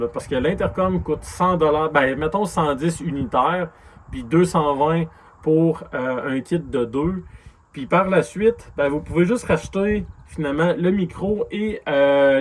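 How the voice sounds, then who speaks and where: A man with a Quebec French accent reviews motorcycle gear in a casual, unscripted way. His voice sits slightly higher than average for a man. There is audible noise behind him as he talks. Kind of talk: casual spoken product review.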